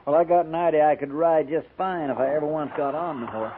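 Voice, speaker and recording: An actor playing a hapless, bemused army recruit who is no youngster, rustic and hesitant, in a 1950s radio western. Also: male voice, fairly high pitch, fast talker, natural voice. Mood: dry, hapless. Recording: tinny, noisy 1950s radio recording.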